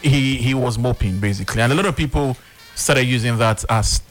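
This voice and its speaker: A Nigerian man hosts a studio talk show, setting up each topic with a loose, conversational delivery and frequent pauses. His voice sits at a middle pitch. Faint music plays underneath. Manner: conversational, hesitant fillers while framing questions. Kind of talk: hosting a panel discussion.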